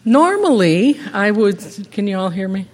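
A middle-aged woman giving a measured, earnest sermon in a church sanctuary, with a teacherly way of explaining. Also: medium pitch, big pitch swings, noisy background. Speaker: lay preacher. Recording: echoey sanctuary with long reverb.

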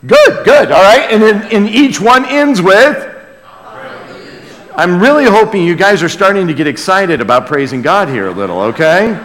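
A man's voice: high-pitched, very animated, moderate pace, a bit bright. Man preaching in a reverberant hall. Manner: earnest, exclamatory, dwelling on phrases with repeats. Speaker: pastor preaching to a congregation. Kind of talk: sermon, conversational Bible teaching with call and response. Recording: long hall reverb of a large room.

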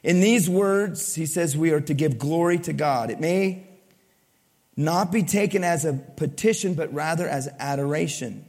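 A male pastor preaching a sermon to his congregation, asking it questions and swinging between loud emphasis and soft, low lines. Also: a little slow. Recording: long hall reverb.

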